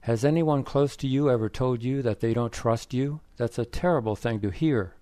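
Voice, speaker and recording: A man's voice: medium pitch, normal speed, warm tone, no room sound, close to an everyday voice. Man doing a blunt, preachy friend, plain read-aloud voice, dry sound. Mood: lecturing. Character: blunt, lecturing male friend.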